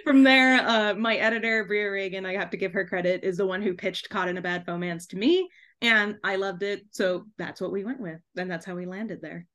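Woman, mid-range pitch, casual conversational delivery, animated, chatty, self-interrupting podcast guest. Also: brisk. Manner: chatty and animated, self-interrupting, often giggling while talking. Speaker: podcast guest, debut author.

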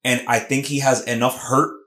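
Male podcast host, emphatic and animated, middle pitch, roomy echo. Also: normal speed. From Puerto Rican heritage. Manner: animated, emphatic, lots of restarts. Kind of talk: casual combat sports podcast chat. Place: Philadelphia podcast studio room.

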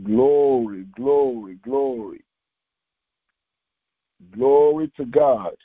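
A man preaching as a pastor, reading scripture aloud in a solemn, deliberate way with short halting phrases, over a narrow phone-like recording. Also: mid-range voice, no echo. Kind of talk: devotional sermon with slow scripture reading aloud. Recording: tinny, phone-like narrowband audio.

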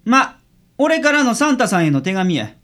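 An actor playing a gruff, lazy but secretly kind male private detective with a blunt Kansai accent in a radio drama. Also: medium pitch, very animated, normal speed, fairly bright tone. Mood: gruff, assured.

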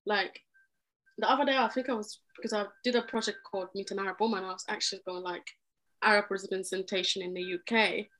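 A young woman from Egypt talks in a podcast interview. She is earnest and animated, and she often breaks off and restarts her sentences as she goes. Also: mid-range voice, fast, low-quality recording. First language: Arabic. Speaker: podcast guest. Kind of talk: conversational interview.

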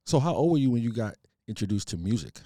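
Man, podcast host, mellow warm voice, upbeat banter, dry close sound. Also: fairly low pitch, sing-song, quick pace, no room sound. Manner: upbeat, hype-style banter. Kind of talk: casual interview and read ad.

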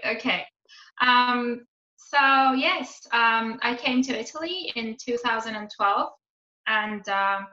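A woman's voice: fairly high pitch, bright, forceful tone. A woman in her thirties from Iran, a podcast guest chatting casually with many hesitant ums. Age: 30s. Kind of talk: casual chat. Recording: roomy, dull lo-fi sound.

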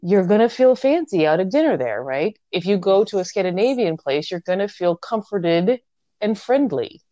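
A woman's voice: mid-range voice, moderate pace, no room sound, dull audio. Chatty woman telling stories. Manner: animated storytelling, many fillers, laughs often. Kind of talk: casual chat between sisters.

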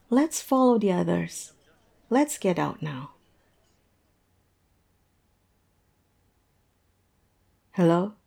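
A woman's voice: lowish voice, creaky, warm tone, exaggerated voice. A woman acting in a roleplay audio drama as a tipsy, lovestruck young woman, self-mocking and playfully theatrical. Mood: friendly, winding down.

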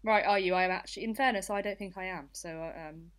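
Female co-host, slightly low register, informal conversational news chat. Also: quick pace. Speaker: podcast co-host.

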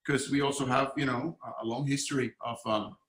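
A man from Puerto Rico, a teacher, talking through his views in a relaxed podcast chat with lots of restarts. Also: mid-range voice, a little fast, reverberant, poor audio. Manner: animated, thoughtful, many restarts. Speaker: guest, arts and Spanish teacher. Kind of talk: casual podcast conversation.

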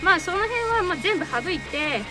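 A woman's voice: very high voice, very animated, moderate pace. A woman hosting a radio show, bantering and explaining a game to a group. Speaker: host of a radio talk and voice drama show. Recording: loud music under the voice. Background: loud music.

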